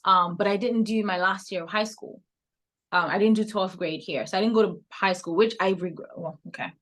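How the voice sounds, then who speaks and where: Woman, 30s, Cameroonian-English accent with an American lean, lively reflective guest. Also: medium pitch, a little fast. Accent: Cameroonian English, softened toward American. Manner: reflective, animated storytelling with frequent laughs. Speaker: podcast guest. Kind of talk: casual interview conversation.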